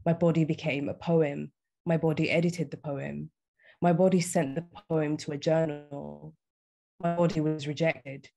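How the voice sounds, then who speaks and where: A young woman poet reading her poems aloud in a measured, deliberate way. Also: sits low, flat delivery, creaky, warm tone. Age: young adult. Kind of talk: poetry reading. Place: small indoor room, on a video call.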